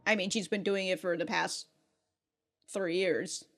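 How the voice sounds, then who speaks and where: A woman hosting a reaction show, commenting casually in an animated, exclaiming way. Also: lowish voice, measured pace, faint music behind. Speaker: host of an anime reaction show.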